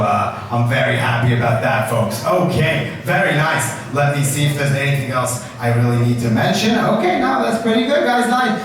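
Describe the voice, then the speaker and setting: A male stand-up comedian works a live room in a fairly high voice. He addresses the audience directly and animatedly as the event host. The sound is very echoey and distant, with clear noise behind him. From Turkey. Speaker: stand-up comedian hosting a science slam. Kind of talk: stand-up comedy, crowd work. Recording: echoey, noisy live venue, far from mic.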